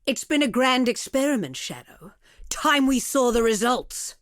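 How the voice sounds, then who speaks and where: A woman's voice: a little high, big pitch swings, unhurried, no room sound, clean studio sound. An actress playing a cruel, haughty female villain in a video game, with a silky, mocking and condescending manner. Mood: triumphant scorn.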